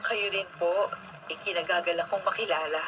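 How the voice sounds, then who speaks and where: A woman's voice: on the low side, steady tone, normal speed, natural voice. An actress with a Filipino accent playing a caring, candid and earnest female teacher in a radio drama. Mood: polite, hesitant. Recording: very noisy radio broadcast.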